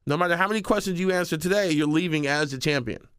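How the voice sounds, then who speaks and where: A man hosting a podcast, relaxed and slangy, often saying "word" and "that's what's up". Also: a little high, quick pace, smooth, no room sound, studio quality. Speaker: podcast and radio host. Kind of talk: casual interview chat.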